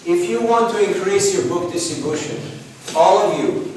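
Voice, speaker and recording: High-voiced man teaching in an echoey room. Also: normal speed, darkish tone. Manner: encouraging, conversational, acts out short dialogues. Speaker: course leader, Hare Krishna teacher. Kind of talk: informal seminar teaching to a group. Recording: echoey room, distant mic, noisy.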